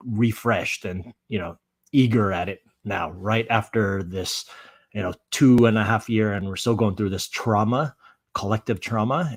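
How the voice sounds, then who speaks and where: A man's voice: fairly low pitch, no echo. A middle-aged man with an American accent, an interview guest telling his story in a casual, rambling, wry way. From San Francisco Bay Area, USA.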